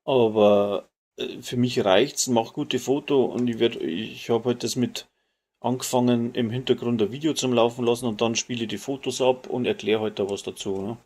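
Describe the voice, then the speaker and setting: A man with a clear Bavarian accent talks in a loose, colloquial way while gaming. His voice sits at a middle pitch, and his speech leans toward standard German while keeping the dialect's flavour. It sounds like an easygoing live-stream chat. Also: fairly even intonation, normal speed, a little mellow. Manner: colloquial, dialect-tinged, mild swearing. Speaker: live streamer playing a video game.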